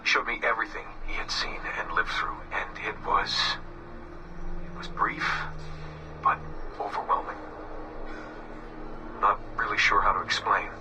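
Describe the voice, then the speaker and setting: An actor playing a weary, haunted young man, recording a halting, reflective confession in a subdued murmur for a game. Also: fairly low pitch, steady tone, background noise, natural voice. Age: young adult. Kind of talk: recorded confessional audio diary, game voice acting. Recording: distant game audio with music behind. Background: music.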